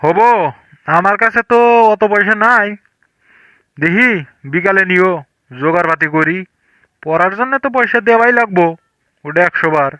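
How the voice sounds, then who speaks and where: A man's voice: high-pitched, normal speed, pauses often, sharp tone, clear, resonant tone, no room sound. A man voicing a trusting, hardworking poor village father in a comedy cartoon, homely and fatherly. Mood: gentle, fatherly.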